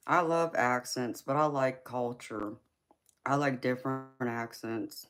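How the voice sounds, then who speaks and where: A woman's voice: a bass voice, fairly even intonation, takes it slowly, a bit bright. A woman with a Southern US accent, hosting a casual livestream and chatting with viewers, laughing often and calling them baby. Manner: laughs often, answers chat by name, calls viewers "baby". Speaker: tarot reader and livestream host. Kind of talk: casual livestream chat reading viewer comments.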